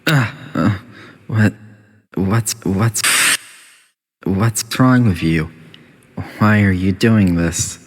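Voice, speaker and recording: Man acting as video game AI overseer, male, lowish pitch, very slow and measured, condescending, passive-aggressive. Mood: pleading, bitter. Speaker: test chamber announcer and antagonist. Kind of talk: scripted video game voice lines.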